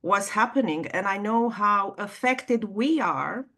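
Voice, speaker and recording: A middle-aged woman hosts a conversation. She asks her questions earnestly and piles on warm praise for her guest. Her voice sits at an ordinary middle pitch. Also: expressive, a little slow. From Romania. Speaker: host. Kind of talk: interview conversation.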